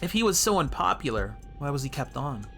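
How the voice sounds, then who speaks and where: A man plays a female defense lawyer in a noir game. The character asks pointed questions with cool, sardonic wit, in a lively, moving intonation over music. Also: on the high side, a little fast, creaky, full character voice. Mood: dry, sardonic. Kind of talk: reading noir game dialogue aloud.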